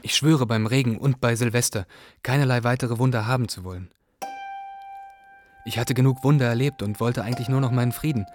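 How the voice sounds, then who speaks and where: A man's voice: medium pitch, fairly quick, close to an everyday voice. An actor playing a weary Iraqi asylum seeker, earnestly telling his story in a radio drama. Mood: weary earnestness. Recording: radio production with music behind.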